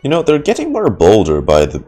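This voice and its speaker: A man giving casual, halting live reaction commentary as he streams a video game, musing out loud. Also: lowish voice, huge pitch swings. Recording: game music in the background.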